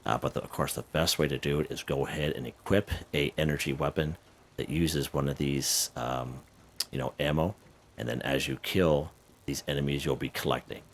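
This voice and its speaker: A man hosting a casual game walkthrough, chatty and a little hoarse, who keeps checking in with "okay?" and "right?". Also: rumbling low, steady tone, moderate pace, a little hiss or hum. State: slightly hoarse. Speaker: gaming video host.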